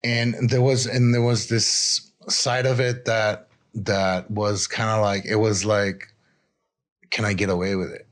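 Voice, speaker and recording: A man from Israel telling his own story candidly as an interview guest, halting and reflective, often adding "you know". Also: lowish voice, little pitch movement. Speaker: addiction recovery coach, interview guest.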